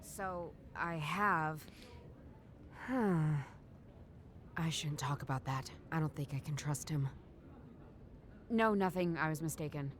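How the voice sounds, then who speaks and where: A woman's voice: deep voice, slow pace, pauses often, slight background noise. An actress playing an uneasy, hesitant, questioning woman in a noir mystery game. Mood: guarded. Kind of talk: dramatic video game voice acting.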